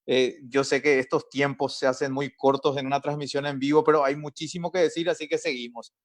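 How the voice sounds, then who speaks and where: A man runs a live online panel in a chatty, easygoing way, introducing guests and handing them the floor. His voice sits at an ordinary middle pitch. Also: level tone, a little fast. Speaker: host and moderator.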